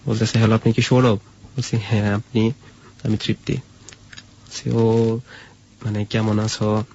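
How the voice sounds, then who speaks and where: A man's voice: on the low side, flat delivery, measured pace, mellow, dull audio, slight background noise. A young man with a colloquial Bangladeshi accent, casually telling his own story as a guest and quoting the conversations in it. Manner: colloquial, acts out remembered dialogue.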